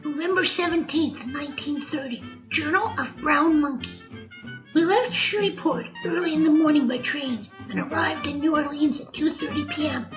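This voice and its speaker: A woman's voice: sits high, normal speed, dull audio, soft background music, natural voice. A woman voicing a small, wiry talking monkey who is cheeky, sarcastic and whiny, in an old-time radio comedy drama. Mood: grumbling narration.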